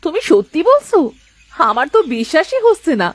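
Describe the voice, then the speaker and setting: A woman voicing a sharp-tongued, disbelieving village wife in a cartoon folk tale, full of scolding questions. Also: very high-pitched, big pitch swings, brisk, no room sound. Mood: incredulous. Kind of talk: cartoon moral-tale dialogue.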